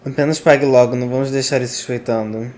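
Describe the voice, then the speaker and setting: A man's voice: mid-range voice, steady tone, rapid-fire, a little mellow. A man with a Brazilian accent giving casual, teasing, sarcastic commentary as he plays a game. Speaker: gaming YouTuber.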